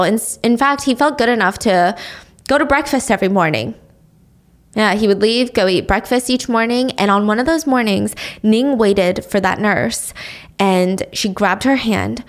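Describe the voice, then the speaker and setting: A young woman hosting a podcast, telling a true-crime story in a casual, animated way and acting out the quotes. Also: mid-range voice. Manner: chatty, animated, acts out quotes with shifts in tone. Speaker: podcast host.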